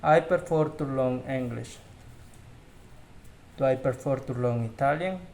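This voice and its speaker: A man whose first language is Spanish, slowly reading English question-and-answer drills aloud as a learner, with small grammar slips. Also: mid-range voice, vocal fry, darkish tone. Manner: slow, careful practice reading with slips.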